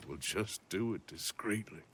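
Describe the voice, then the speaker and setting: An actor playing a gruff, wry cowboy outlaw in his thirties with an American Western drawl, dry and teasing, in a video game. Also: fairly low pitch, unhurried. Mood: amused, calm. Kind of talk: naturalistic video game acting. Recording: game dialogue over loud wagon, hoof noise.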